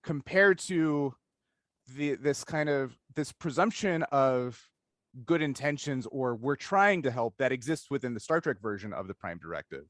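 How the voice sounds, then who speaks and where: A man's voice: mid-range voice, expressive, measured pace, fairly bright tone, dry recording, studio quality. A man hosting a casual discussion interview, thinking aloud analytically in long stretches and often checking in with "right?". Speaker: interviewer, podcast host.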